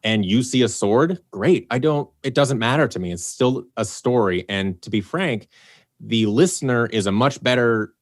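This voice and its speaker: A man's voice: medium pitch, lively intonation, normal speed, dry recording, studio quality. A man talking casually in an interview as an audio drama director, explaining with acted-out examples.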